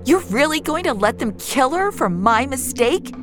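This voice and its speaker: A woman's voice: high voice, big pitch swings, normal speed, music in the background, pitched above the performer's own voice. A woman acting a defiant, wounded fugitive woman in an audio drama. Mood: desperate, angry. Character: defiant, wounded woman on the run, dream-eraser.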